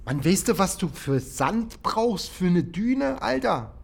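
An actor playing a defensive, doubtful village man in a naturalistic German radio drama, speaking in a hesitant, colloquial, halting way. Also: high voice, sing-song, creaky, breathy edge, full character voice. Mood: skeptical.

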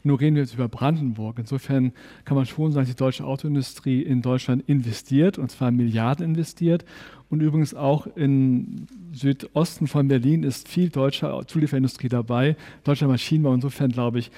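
Mellow-voiced industry executive man. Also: medium pitch, steady tone, a little fast. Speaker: auto industry association executive. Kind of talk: panel discussion.

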